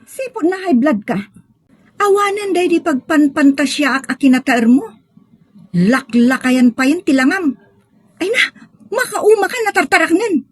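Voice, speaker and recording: A woman's voice: sits high, animated, moderate pace, no echo, clean studio sound. A woman playing a fed-up, scornful wife who scolds her sick husband in an Ilocano melodrama. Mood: exasperated, scornful. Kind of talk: Ilocano radio-style melodrama.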